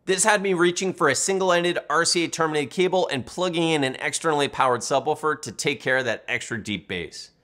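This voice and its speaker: A man's voice: fairly high pitch, brisk, few pauses, pushed and bright. A man reading a scripted product review as the reviewer and narrator.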